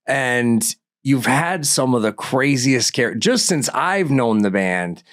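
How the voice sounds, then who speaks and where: Male host, mid pitch, dry close mic, relaxed chatty questioner. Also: slow pace, dry recording. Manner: chatty, asks follow-up questions. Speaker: podcast host. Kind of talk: casual interview.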